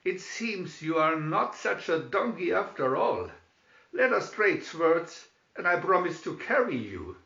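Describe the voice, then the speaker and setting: Storybook friar, played by a man: stout, hearty, mock-pious trickster, raised bright voice. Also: high-pitched, sing-song, measured pace, gravelly, full character voice. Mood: grim bargaining. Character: jolly, stout, sly male friar, holy and teasing. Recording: slightly dull recording in echoey room.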